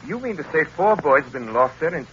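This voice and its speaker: A man playing a shrewd, urbane gentleman detective, composed and inquisitive, with polished deliberate delivery in old radio drama style. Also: a little high, lively intonation. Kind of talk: 1930s radio drama acting. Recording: muffled, noisy old radio recording.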